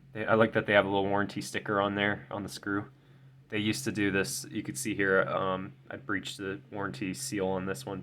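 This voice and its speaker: A man's voice: deep voice, sharp tone, slight background noise. A young man, tired and a bit stuffy, chatting casually and rambling with many restarts while unboxing a phone at his desk. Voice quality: slightly nasal. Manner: rambling, self-correcting, many ums and stumbles. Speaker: tech video creator. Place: desk at home, indoors.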